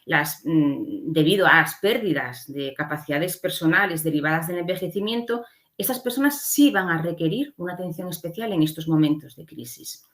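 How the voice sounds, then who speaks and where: Lower-pitched Spanish woman giving a lecture. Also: moderate pace, few pauses, fairly bright tone. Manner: explanatory, often adds short checking tags. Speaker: conference speaker. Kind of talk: slide presentation lecture.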